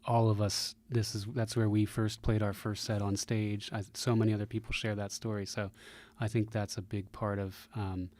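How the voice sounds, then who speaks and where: Male musician guest, earnest and appreciative, very mellow voice with a flat, even melody. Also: fairly low pitch, normal speed, hardly any pauses. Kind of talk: conversational interview.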